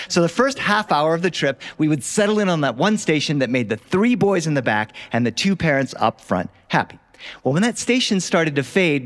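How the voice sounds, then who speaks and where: Animated higher-voiced male storyteller. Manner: animated, conversational, engaging listeners with questions. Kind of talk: live storytelling of childhood memories to an audience. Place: recording studio in front of live listeners.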